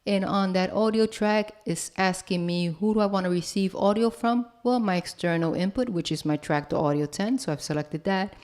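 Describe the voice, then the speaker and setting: A woman giving a software tutorial as an instructor, casual and step by step, often checking in with the listener. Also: mid-range voice, moderate pace, hardly any pauses. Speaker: host and music production instructor. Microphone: broadcast microphone.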